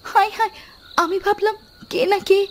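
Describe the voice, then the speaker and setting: A woman voicing a poor tailor's kind, excitable wife in a storybook cartoon. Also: extremely high pitch, moderate pace. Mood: eager, surprised. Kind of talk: storybook cartoon narration and acting.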